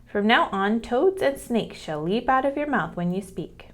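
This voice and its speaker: A woman's voice: a little high, expressive, moderate pace, close to an everyday voice. Storybook good fairy, played by a woman reader; formal, pronouncing, polite yet stern.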